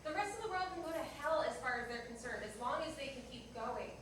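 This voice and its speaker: A bitter, jilted diner manager, played by a woman in a stage play, speaks in a high voice with curt sarcasm and accusation. She is heard from far off in a very echoey room. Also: lively intonation, fast talker, background noise. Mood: angry, indignant. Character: bitter jilted woman coffee-shop manager in 1976 New York. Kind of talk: staged reader's theater dialogue. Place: indoor performance room, distant microphone.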